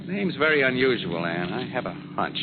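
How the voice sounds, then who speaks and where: An actor playing a brash, wisecracking crime photographer, eager and insistent, in a 1940s radio drama. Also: male voice, medium pitch, big pitch swings, fairly quick, narrow, tinny audio, natural voice. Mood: confident. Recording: noisy, band-limited old radio recording.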